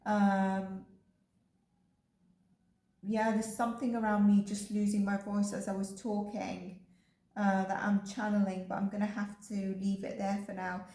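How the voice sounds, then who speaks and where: A woman giving a casual psychic reading, rambling and filling her pauses with "um" and "like". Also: mid-range voice, level tone, dark tone. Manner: rambling, with frequent ums and likes. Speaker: psychic energy reader. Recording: echoey room.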